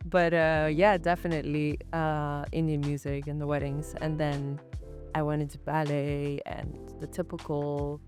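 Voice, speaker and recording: A woman's voice: sits low, level tone, unhurried, a little mellow, faint music behind. A woman in her twenties from Norway, talking casually about her dance life in a podcast conversation. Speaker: co-host and guest, movement artist.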